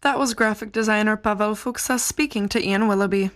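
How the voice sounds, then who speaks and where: A woman presenting on the radio, reading links smoothly from a script. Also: medium pitch, fairly quick.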